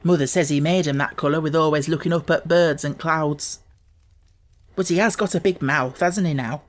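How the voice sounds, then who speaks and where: A man's voice: a little high, normal speed, lightly acted. A man voicing a cheerful, plain-spoken young Yorkshire housemaid in an audiobook, chatting in broad, homely dialect. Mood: fond, chatty.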